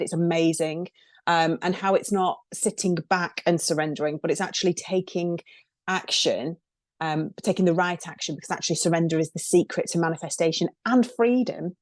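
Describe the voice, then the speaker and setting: Woman podcast host, lowish register, enthusiastic and chatty, dry close-miked sound. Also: darkish tone, no echo. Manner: chatty, filler-heavy and enthusiastic. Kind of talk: podcast intro and interview.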